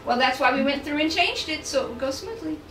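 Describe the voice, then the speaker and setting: High-voiced woman in a roomy, noisy space. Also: animated, fast talker, a bit bright, faint music behind. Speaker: host of a script table read. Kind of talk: casual group chat. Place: echoey room among a chatting group. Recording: roomy, noisy room, distant mic.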